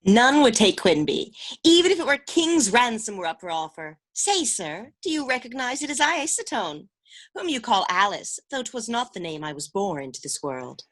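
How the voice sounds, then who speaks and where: A woman's voice: fairly high pitch, big pitch swings, moderate pace. A woman voicing an earnest, melodramatic female misfit in a mock-archaic radio play, dramatic and declamatory. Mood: archly formal.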